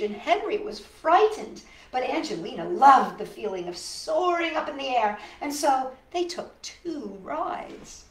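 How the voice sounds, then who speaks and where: Woman storytime host, very lively melodic delivery, talks straight to little kids, sympathetic and coaxing; echoey room. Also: high voice. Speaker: storytime host reading a picture book. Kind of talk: expressive children's storytime read-aloud with asides to young viewers. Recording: echoey room, faint background noise.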